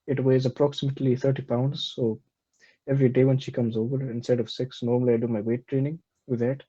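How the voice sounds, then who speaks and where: Casual: guy doing a puffed-up fake doctor, bragging deadpan, dull lo-fi audio. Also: mid-range voice, quick pace, breathy edge, lightly acted. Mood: boastful. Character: boastful self-styled male herbal doctor, absurd. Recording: dull lo-fi recording.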